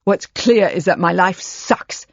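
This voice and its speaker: A woman's voice: on the low side, takes it slowly, no room sound, lo-fi sound. Sulky teenage girl, voiced by a female reader, dry close sound.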